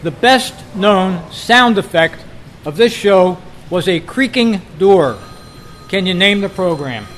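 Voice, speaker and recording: A man with a notably high voice acts as quizmaster for a club gathering. He reads each trivia question out deliberately, in short phrases, and repeats it for the group. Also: animated, fairly bright tone, slight background noise. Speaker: quiz host at a club meeting.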